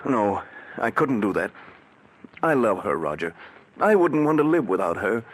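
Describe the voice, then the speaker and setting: An actor playing a desperate, jealous wealthy husband in a 1940s radio drama. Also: male voice, on the low side, very animated, normal speed. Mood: pleading. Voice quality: pleading, emotional, theatrical. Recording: old radio broadcast.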